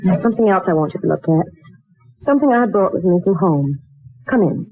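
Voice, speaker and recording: A woman's voice: fairly low pitch, expressive, fairly quick. Uneasy young woman, tinny old radio. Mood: earnest. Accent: American. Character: uneasy, earnest young working woman named Mary. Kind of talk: 1940s radio acting. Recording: muffled, tinny 1940s radio recording with music.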